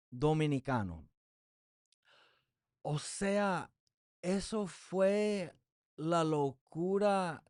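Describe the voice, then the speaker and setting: A man preaching in his second language at an unhurried, careful pace. His voice sits a little high and comes through smooth and clean, close to the mic in a dry space. He reads scripture in measured phrases and often pauses with fillers. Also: no echo. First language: English. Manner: slow, deliberate reading of scripture with frequent fillers. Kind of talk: Bible teaching sermon.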